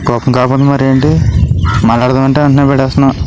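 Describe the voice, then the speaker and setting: A man's voice: medium pitch, flat delivery, very fast, background noise, natural voice. A young man acting as an awkward, lovestruck guy chatting on the phone in an amateur short film. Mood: annoyed. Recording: loud music over the dialogue.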